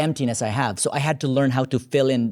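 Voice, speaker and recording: A man's voice: mid-range voice, very fast, a little mellow. A young man from the Philippines, with Filipino as his first language, thoughtfully telling his personal story as a podcast guest. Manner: earnest, thoughtful, often says "you know".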